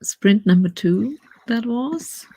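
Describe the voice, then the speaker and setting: A woman's voice: fairly high pitch, lively intonation, slow pace, mellow, husky, no echo. A middle-aged German woman with a German accent, chatting casually and rambling as she hosts a writing livestream, often laughing.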